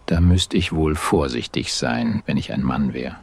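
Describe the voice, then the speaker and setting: Man as husky, stuffy gallant gentleman. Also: a bass voice, a little slow, no room sound, heavily acted. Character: stuffy, gallant Prussian gentleman, Schmidt, easily irritated. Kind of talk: audio drama acting.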